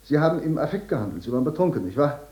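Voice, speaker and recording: Evasive judicial bureaucrat, soft dark tone, patronising delivery, roomy echo, vintage German radio drama. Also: male voice, fairly high pitch, sing-song, very fast. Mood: patronising, official. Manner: hesitant, patronising, official. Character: bureaucratic male court director, evasive and condescending. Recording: echoey room in old radio production.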